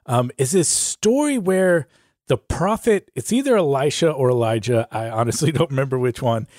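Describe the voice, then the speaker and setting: A man's voice: medium pitch, animated, normal speed, a little mellow, no room sound. A middle-aged man co-hosting a casual podcast, chatting with dry humour. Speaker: podcast host. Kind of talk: casual conversational podcast talk.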